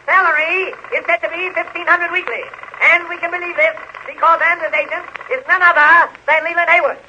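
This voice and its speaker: An actor playing a brisk Hollywood gossip reporter in a 1930s radio drama, delivering items like news patter. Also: male voice, very high voice, fairly even intonation, pushed and bright, exaggerated voice. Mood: gossipy, brisk. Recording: dull, faintly noisy 1930s radio recording.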